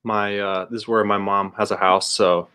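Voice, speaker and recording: A man's voice: fairly low pitch, normal speed, clean tone. A young man, talking easily on a video call from a room at home, wearing earbuds. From Dallas, Texas, United States. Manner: relaxed, easygoing, hedging with uh. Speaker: YouTuber guest. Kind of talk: casual chat. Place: room at his mother's house.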